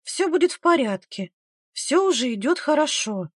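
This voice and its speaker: A young village midwife, as acted by a woman storyteller, caring and fiery. Her voice sits fairly high and sounds earnest and urgent, in a dry audiobook recording. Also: lively intonation, normal speed, darkish tone, slightly rough, no echo. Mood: reassuring, patient.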